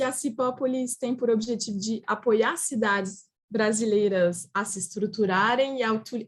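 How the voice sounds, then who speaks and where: A woman with a French accent, a native French speaker, presenting in a video-call roundtable with many hesitations and restarts. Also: fairly high pitch. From France. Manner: hesitant, frequent filler "é" and restarts. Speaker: panelist.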